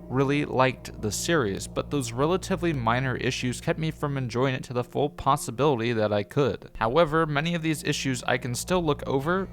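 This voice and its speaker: Male reviewer for scripted, opinionated video essay narration; medium pitch, light music bed behind.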